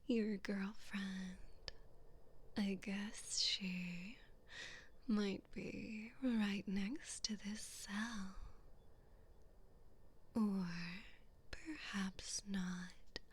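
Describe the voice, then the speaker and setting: A woman playing a cruel, haughty evil queen in a whispered ASMR roleplay, taunting and condescending in a breathy voice. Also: mid-range voice, steady tone, extremely slow, long pauses, full character voice. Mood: teasing, cruel.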